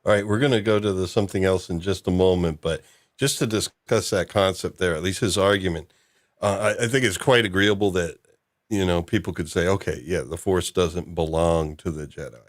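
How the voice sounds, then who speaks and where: A man's voice: low voice, fairly quick, dry recording, clean studio sound. A man hosting a casual podcast discussion, talking in an informal, rambling way. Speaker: host.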